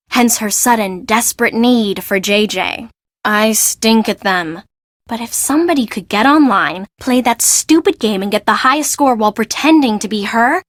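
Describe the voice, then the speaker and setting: Woman voicing young female game character, higher-pitched, bossy fashion assistant, exasperated, sarcastic, expressive, dry studio sound. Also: no room sound, close to an everyday voice. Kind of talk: video game voice acting.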